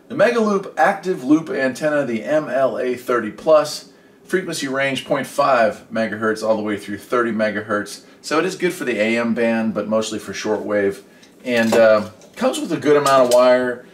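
A middle-aged American man giving a casual hands-on demonstration in a folksy, chatty way. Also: medium pitch. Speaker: host. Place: indoor home workshop and garage. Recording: echoey room, distant mic, faint noise.

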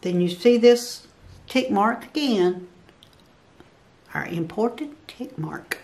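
A woman's voice: medium pitch, expressive, takes it slowly, a little mellow. An older woman giving a step-by-step drawing tutorial, patiently counting grid squares aloud as she works at a table. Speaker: craft instructor. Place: indoor work table.

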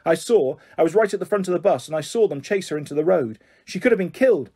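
A protective, fussing woman in a storybook reading, put on by a man. The voice is raised and husky, motherly and emphatic. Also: fairly high pitch, lively intonation, quick pace, mellow. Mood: indignant.

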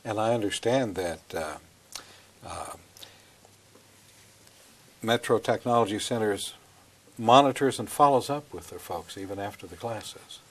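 A man's voice: on the low side, a little slow, long pauses. An older man hosting a studio interview on a lapel mic, friendly and leading his guests with questions. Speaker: host. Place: small TV studio.

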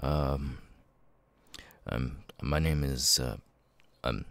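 A man voicing a nervous, awkward young man who stammers haltingly through verbal tics in an ASMR roleplay. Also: rumbling low, exaggerated voice. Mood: nervous, apologetic.

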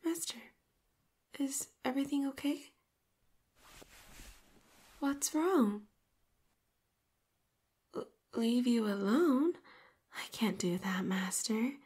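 A woman voicing a devoted, possessive young female robot assistant in an ASMR roleplay, speaking in a soft half-whisper and calling the listener Master. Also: high-pitched, breathy edge, exaggerated voice. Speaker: voice actor.

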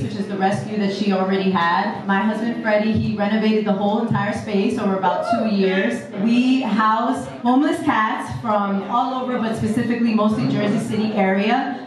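A woman who runs a cat rescue, speaking on stage in a plain, grateful way. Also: medium pitch. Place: brick-walled club stage, indoors. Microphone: handheld mic, recorded by far camera. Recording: echoey club from far off, with crowd.

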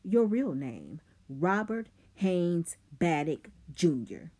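A woman's voice: fairly low pitch, sing-song, slow, darkish tone, slightly rough, exaggerated voice. A woman voicing a clever, scheming teenage boy who talks back coldly. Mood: defiant.

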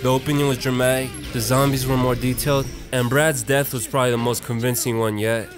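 A man's voice: medium pitch, little pitch movement, fairly quick. A young man narrating a casual, opinionated video essay in a slangy, profane and irreverent manner. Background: music.

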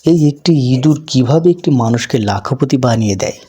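A man's voice: mid-range voice, lively intonation, a little fast, mellow, breathy edge. A man voicing an earnest, hopeful poor street vendor who thinks aloud in a folk tale cartoon. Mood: hopeful, musing. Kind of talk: cartoon voice acting.

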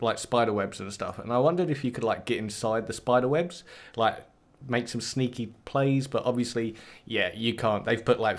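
A man giving casual, enthusiastic gameplay commentary, rambling with many fillers. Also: medium pitch. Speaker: gaming video creator.

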